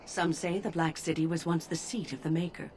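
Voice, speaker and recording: A low-voiced, wise old female mage, voiced by a woman from a fantasy video game. She speaks in a measured, formal, gently lecturing way. A faint hiss sits under the voice. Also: little pitch movement, lightly acted. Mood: calm. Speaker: game character.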